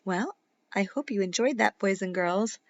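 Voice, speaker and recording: A woman reading a children's picture book aloud as a teacher would, in a dramatic, playful way with emphatic pauses. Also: medium pitch, dry recording, muffled recording. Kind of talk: expressive children's storybook read-aloud.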